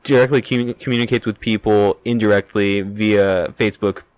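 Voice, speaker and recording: A man's voice: fairly low pitch, fairly even intonation, a little fast, darkish tone, smooth, rough recording. A man co-hosting a podcast in casual, conversational talk. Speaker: podcast co-host.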